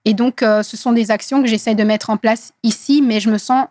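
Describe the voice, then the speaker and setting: A woman in her thirties from Congo whose first language is Swahili, speaking earnestly in an interview with many hesitant pauses. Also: on the high side, steady tone, a little mellow, no room sound, studio quality. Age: 30s. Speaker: guest activist. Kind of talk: interview conversation.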